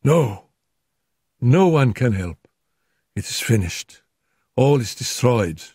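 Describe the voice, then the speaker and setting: A man's voice: medium pitch, big pitch swings, takes it slowly, a little mellow, breathy edge, no echo, clean studio sound, pitched above the performer's own voice, exaggerated voice. A man voicing a feverish, delirious woman who is terrified and despairing, in an audiobook. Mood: frantic, despairing. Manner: frantic, raving.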